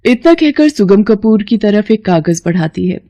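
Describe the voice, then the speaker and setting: A woman narrates a scripted moral tale in dramatic storytelling style. Her voice is mid-pitched and mellow, recorded very dry. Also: expressive, normal speed, dry recording.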